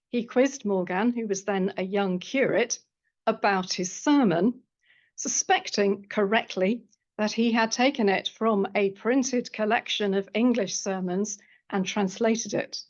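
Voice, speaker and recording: A woman reads an academic paper aloud in a lecture room, keeping to a measured scholarly pace and adding the odd dry aside. Her voice sits at an ordinary middle pitch for a woman. The recording sounds somewhat dull and lo-fi. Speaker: historian giving a seminar paper.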